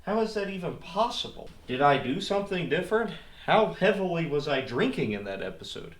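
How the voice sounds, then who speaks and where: A man's voice: fairly high pitch, very animated, fairly quick. Echoey, folksy man working in a garage. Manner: wry, self-deprecating, folksy. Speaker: DIY car repair video host. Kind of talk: casual hands-on how-to narration. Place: garage workshop. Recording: echoey, distant mic, clearly noisy.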